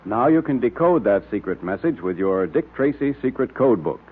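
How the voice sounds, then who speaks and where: A man announcing in an emphatic 1930s radio style, pitching to young listeners, on a tinny old recording. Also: sing-song, moderate pace, slightly rough.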